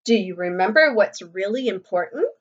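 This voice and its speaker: A woman reading the part of a kindly, reassuring grandfather in a picture book, gently and with measured words, on slightly dull audio. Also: mid-range voice, expressive, natural voice. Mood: gentle, reassuring. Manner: gentle, measured, morally instructive.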